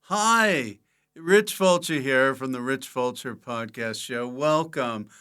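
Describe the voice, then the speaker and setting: A man hosting a casual comedy podcast, joking playfully and given to sudden loud exclamations. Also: medium pitch, a little slow, clear, resonant tone. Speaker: podcast host.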